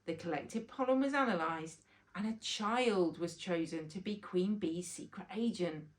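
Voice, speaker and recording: A woman's voice: on the low side, measured pace, close to an everyday voice, poor audio. A woman voicing a kindly, earnest old grandad who is now a talking bee in a children's storybook.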